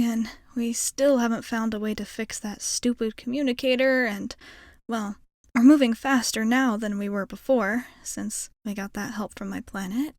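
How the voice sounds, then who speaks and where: High-voiced, hesitant alien girl played by a woman. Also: a little mellow, no room sound, clean studio sound, natural voice. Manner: hesitant, rambling, self-effacing. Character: shy, nervously hopeful young female alien space traveler. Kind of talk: ASMR roleplay, intimate character monologue.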